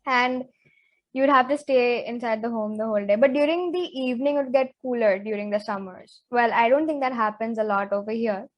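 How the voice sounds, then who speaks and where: Teen girl co-host, slightly high voice, chatty and quick to get worked up. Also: highs cut off. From Zambia. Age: teens. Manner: chatty, animated, says "like" a lot. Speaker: co-host, interviewee. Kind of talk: casual chat podcast.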